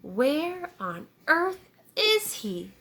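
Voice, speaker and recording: A woman's voice: high voice, very animated, very slow, a bit bright, slight background noise, voice pushed up, exaggerated voice. A woman voicing worried, bewildered storybook farm animals with emphatic, questioning delivery. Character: worried, bewildered barn animals fretting over a missing boy.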